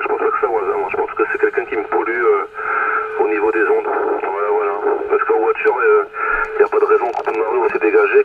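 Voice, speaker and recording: A man's voice: fairly low pitch, recorded from a distance. Over a radio transmission, a man chats casually as a CB operator, hesitant, rambling and correcting himself. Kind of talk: casual radio contact chat. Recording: muffled, very noisy CB radio reception.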